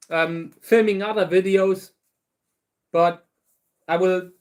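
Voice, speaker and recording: A man hosts a relaxed livestream and chats with his viewers. His voice sits fairly high for a man. He rambles along at an easy pace, often restarting words. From Germany. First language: German. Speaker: host of a livestream channel. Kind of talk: casual livestream chat, answering viewers.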